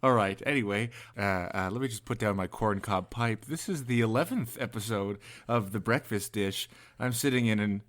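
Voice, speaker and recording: A man's voice: lowish voice, normal speed, a bit bright. A man co-hosting a podcast in casual banter, with jokey, mock-formal asides. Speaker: podcast co-host.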